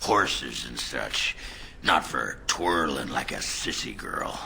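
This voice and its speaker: Gravelly, very deep dwarf. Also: male voice, expressive, slow, bright, forceful tone, slight background noise, exaggerated voice. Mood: gleeful mockery. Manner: coarse, teasing, blunt. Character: crude, boozy, gruff middle-aged male dwarf warrior. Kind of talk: fantasy video game voice acting.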